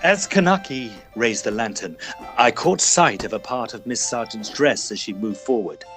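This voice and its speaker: A man playing an easily flustered Edwardian gentleman ghost hunter, earnest and old-fashioned, in a tongue-in-cheek audio drama. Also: mid-range voice, normal speed, faint music behind, lightly acted, rough recording. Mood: dramatic storytelling.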